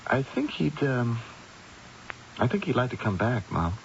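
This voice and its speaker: An actor playing a mild young grown son and expectant father in an old-time radio drama, speaking in a halting, hesitant way. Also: mid-range voice, big pitch swings, vocal fry. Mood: hesitant, concerned. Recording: hissy, dull old radio recording.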